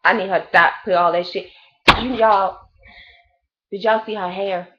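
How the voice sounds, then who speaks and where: A woman's voice: mid-range voice, fairly even intonation, normal speed, dull audio, heavy background noise. A woman in her late thirties giving a blunt, profane, rambling gossip review of a reality show in a casual chat. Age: 30s.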